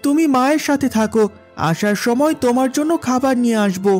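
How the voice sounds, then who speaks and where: A man's voice: very high voice, a little fast, darkish tone. A man voicing an earnest poor village boy who hawks goods in a Bengali cartoon story. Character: Raju, earnest poor village boy selling rice cakes. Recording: music behind the voice.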